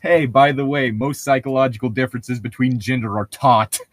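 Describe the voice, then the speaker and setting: A man's voice: medium pitch, fairly even intonation, moderate pace, dry recording. A man hosting a casual, joking online chat, opinionated and swearing freely. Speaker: host of an online channel.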